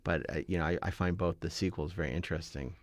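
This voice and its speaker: A man with a low voice chats about movies as a podcast host. He rambles with a fan's enthusiasm, and the sound is close and dry. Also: fairly even intonation, quick pace, no echo. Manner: enthusiastic, rambling film-buff talk. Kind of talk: casual panel chat about films.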